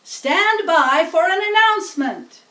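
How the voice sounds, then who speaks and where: A woman plays a grand, pompous fair announcer from a storybook, pitched very high and proclaiming each phrase formally. The delivery is loud and unhurried, in a roomy, distant recording. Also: animated, full character voice. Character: pompous fairground loudspeaker announcer, grand and booming. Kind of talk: storybook character voice.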